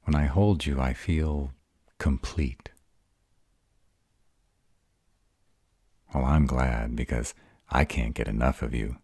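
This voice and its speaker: A man voicing a bashful, awkward male were-dragon in love, halting and earnest, in a romantic roleplay audio. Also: very deep voice, steady tone, a little mellow, husky, no room sound, clean studio sound, full character voice. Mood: tender.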